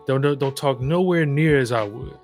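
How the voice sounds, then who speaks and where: A man's voice: mid-range voice, moderate pace, darkish tone, faint music behind. Southern man talking thoughtfully. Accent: Southern American. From Southern United States. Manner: reflective, emphatic, storytelling with frequent restarts. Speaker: podcast guest. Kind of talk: casual conversation.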